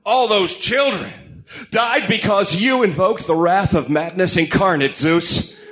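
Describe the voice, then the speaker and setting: A man voicing a stern masked vigilante in a comic-book radio drama, grim, deliberate and accusing. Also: high voice, a bit bright. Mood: accusing, resolute.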